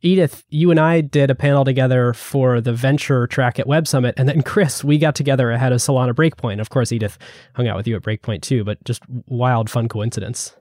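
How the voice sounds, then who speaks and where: A man's voice: mid-range voice, fairly even intonation, fairly quick, darkish tone, dry recording, studio quality. A man hosting a casual podcast interview, introducing his guests with upbeat, easy banter. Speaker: podcast host.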